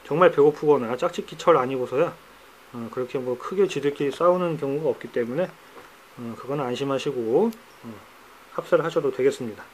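A middle-aged man with a hoarse, cracking voice, talking casually in a how-to vlog about his pets, recorded on a handheld phone indoors. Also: mid-range voice, a little fast, slight background noise, low-quality recording. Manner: chatty, teasing, drops into banter. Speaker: pet keeper vlogger. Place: indoors among pet enclosures.

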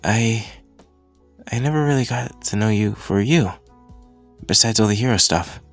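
A man playing a shy, kind teenage hero-school boy in an anime ASMR roleplay, speaking haltingly and apologetically, with stammers. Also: lowish voice, gravelly, soft background music. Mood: gentle, reassuring.

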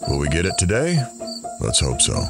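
An actor playing a musing, laid-back host who observes events in an audio drama. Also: male voice, low-pitched, huge pitch swings, moderate pace, over loud music, full character voice.

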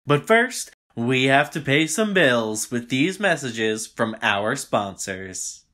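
A woman's voice: extremely low pitch, very animated, slow pace, fairly bright tone, exaggerated voice. A woman playing an upbeat comedy circuit emcee in an audio drama, announcing in an effusive, showy way. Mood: upbeat, hyping. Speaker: show announcer.

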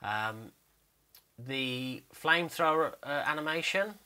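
A man's voice: on the high side, slow pace, pushed and bright. A man giving a casual, unscripted commentary over a screen recording, explaining his animation work and thinking aloud as he goes. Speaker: 3D animator narrating behind-the-scenes work.

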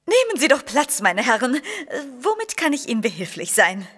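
A woman's voice: on the high side, sing-song, normal speed, fairly bright tone. A woman playing a superstitious, wary lady poet in an audio drama, with an affected, grandly polite and dramatic manner. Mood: gracious, guarded.

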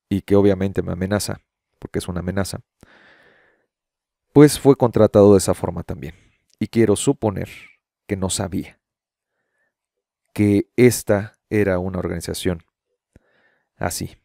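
Deep, gravelly middle-aged man. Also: dark tone, dry recording, clean studio sound. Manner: conversational, explanatory, addressing the viewer directly. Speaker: YouTube host. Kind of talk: explanatory screen-share commentary, casual. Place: small home room with shelves and framed pictures. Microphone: close studio condenser microphone on boom arm.

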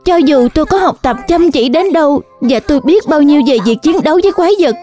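A young superhero girl for a children's cartoon. Her voice is high and bright-hearted, gentle yet determined. Faint music sits behind her earnest delivery. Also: animated, fast. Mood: self-doubting. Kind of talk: dubbed children's cartoon acting.

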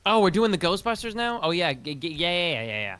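Male gamer sidekick, highish and quick, eager slangy chat, repeats himself. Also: big pitch swings, a bit bright, clear, resonant tone, dry recording. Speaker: streamer's co-player handling sound effects. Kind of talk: casual chat in game voice chat.

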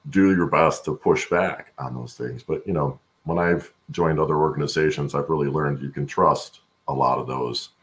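A middle-aged man with a gravelly voice answering interview questions conversationally on a video call from home, haltingly thinking aloud. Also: very deep voice, fairly bright tone. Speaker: guest, supply chain expert and voice-over announcer.

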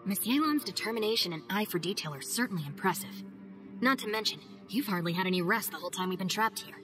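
A woman voicing a calm, practical young woman in a game, speaking in a level, measured way. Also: mid-range voice, over music, natural voice. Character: calm, practical young woman, loyal gang second-in-command. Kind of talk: video game cutscene voice acting.

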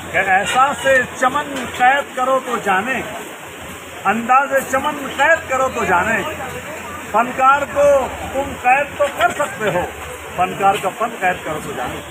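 Middle-aged male entertainer, very high voice, courtly declamatory poetry delivery over clear outdoor din. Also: animated, slow. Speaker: costumed restaurant entertainer reciting poetry. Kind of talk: theatrical Urdu couplet recitation to guests. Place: busy outdoor restaurant courtyard at night. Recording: noisy open-air restaurant, phone recording.

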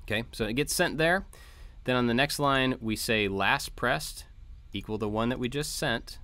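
A man's voice: medium pitch, normal speed, bright, forceful tone, dry recording. A man narrating an informal code walkthrough as a tutorial host, explaining things step by step. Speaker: host of a maker tutorial channel. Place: voice-over for a screen recording.

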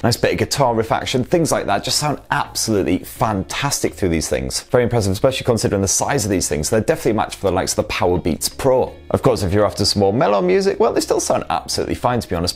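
A British man in his thirties giving a chatty, slangy product review to camera on a lapel mic indoors. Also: low-pitched. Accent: British English. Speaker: tech reviewer. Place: indoor room at home.

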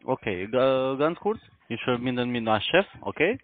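Guy with foreign accent, mid voice, halting polite cold call, crappy narrow phone line. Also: medium pitch, big pitch swings, slight background noise. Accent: foreign, non-native German. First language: not German. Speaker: call centre customer adviser.

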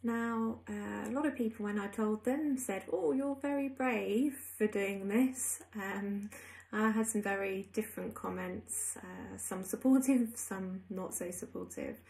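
A woman in her early forties telling a personal story to camera in a reflective, hesitant way with pauses. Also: mid-range voice, vocal fry, darkish tone, poor audio. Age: early 40s. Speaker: freelance graphic designer sharing a personal story. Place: small indoor room at home.

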